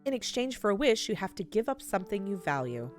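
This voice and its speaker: A woman's voice: mid-range voice, very animated, fast talker, soft background music, natural voice. Woman voicing a vain, grumbling immortal villain. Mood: smug, wistful. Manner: dismissive, grumbling. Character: vain, self-centred immortal skinless villain recalling memories. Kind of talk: reading visual novel dialogue in character.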